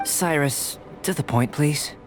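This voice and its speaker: An actor playing a calm, reserved young male alchemist scholar in an anime-style video game, measured and detached. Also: a little high. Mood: curt, uninterested. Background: game music.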